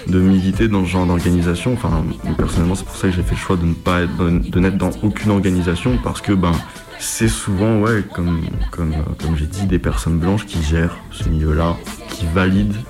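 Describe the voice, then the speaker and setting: A man's voice: sits low, fairly even intonation, fairly quick. A man giving informal testimony as an activist, hesitant and searching for words. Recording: music playing under the voice. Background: music.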